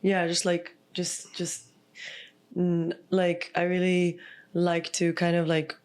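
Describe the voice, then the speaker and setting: A woman from Israel, a podcast guest and healer, talking in a soft, reflective way and often pausing on "like.". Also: lowish voice, completely flat delivery. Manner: soft, reflective, full of "like" fillers. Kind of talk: casual heartfelt conversation.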